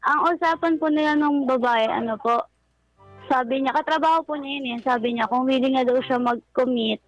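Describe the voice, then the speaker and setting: A woman's voice: high-pitched, normal speed, muffled recording. A young woman with a Filipino accent calling a radio show, politely recounting a personal story. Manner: polite, deferential. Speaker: caller. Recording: radio phone-in with music behind.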